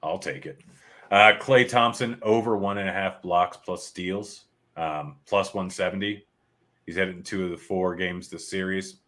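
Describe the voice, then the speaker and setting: Deep-voiced man reading betting odds. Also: fairly even intonation, fairly bright tone. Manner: rattles off betting odds and stats, halting restarts. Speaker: sports betting show host. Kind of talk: casual live-stream chat.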